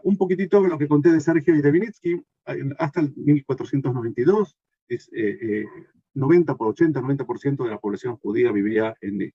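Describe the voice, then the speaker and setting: A man's voice: medium pitch, warm tone, slightly rough, no echo, lo-fi sound. A man from Argentina, presenting a workshop with many fillers and restarts. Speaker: consultant giving a workshop. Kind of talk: recorded presentation talk.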